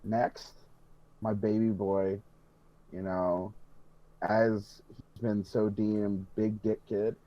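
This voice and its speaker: A man's voice: on the low side, flat delivery, drawn-out words, pauses often, dry recording, lo-fi sound. A man co-hosting a hobby podcast, chatting casually with emphatic, sweary, rambling enthusiasm. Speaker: podcast co-host.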